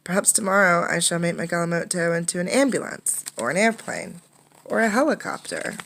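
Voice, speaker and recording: Woman reading as earnest young boy, low-voiced. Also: lively intonation, brisk, vocal fry, fairly bright tone. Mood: proud, hopeful. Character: inventive, determined young village boy in a storybook.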